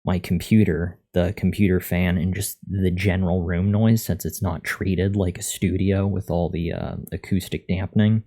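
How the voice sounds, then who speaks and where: A man chatting casually on a live coding stream, thinking aloud in a monotone voice into a USB condenser microphone. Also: low-pitched, normal speed, few pauses. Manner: thinking aloud, reading out and answering chat. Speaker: live streamer learning to code. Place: untreated room at a computer. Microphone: USB condenser microphone at a desk.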